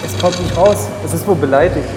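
A man's voice: fairly high pitch, a little fast, natural voice. A man playing an exasperated, smug sidekick in an amateur comedy audio drama, speaking theatrically. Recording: roomy, long reverb, music behind. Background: music.

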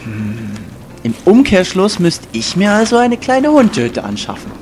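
Grumbling young man, animated delivery. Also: sits high, moderate pace, slight background noise. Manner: grumbling, sarcastic. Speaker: performer playing himself in audio drama. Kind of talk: scripted comic dialogue, audio drama. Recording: music bed behind the voice.

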